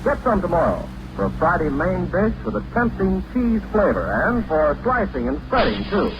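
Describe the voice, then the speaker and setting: A man's voice: high-pitched, big pitch swings, moderate pace, very noisy. A man announcing a scripted commercial in 1940s radio style, on an old recording.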